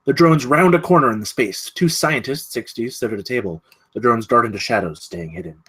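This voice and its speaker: A man with a mid-pitched voice serves as narrator at a table read. He reads out screenplay stage directions and scene headings. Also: a little fast, fairly bright tone, breathy edge.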